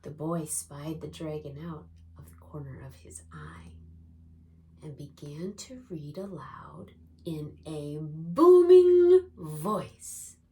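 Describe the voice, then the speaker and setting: A woman reading a picture book aloud to young children as an expressive, dramatic storyteller, swinging between hushed and booming delivery. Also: slow pace, a little hiss or hum.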